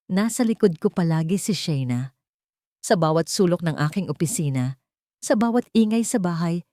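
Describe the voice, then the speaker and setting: A woman's voice: lowish voice, warm tone, dry recording, clean studio sound. A woman reading a scripted dramatic story as an audiobook narrator.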